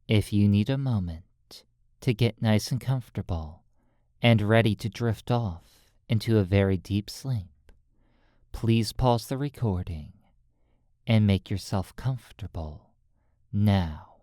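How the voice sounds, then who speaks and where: Slow, dry-recorded hypnotist, soothing and deliberate. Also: medium pitch, dark tone, no echo. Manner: soothing, deliberate, pausing between short phrases. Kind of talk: sleep hypnosis roleplay, soft guided narration.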